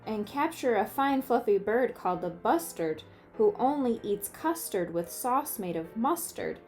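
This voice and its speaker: Storybook boy dreamer played by a woman: boastful, eager, singsong rhymes, mellow and slightly high. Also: expressive, a little slow, faint music behind.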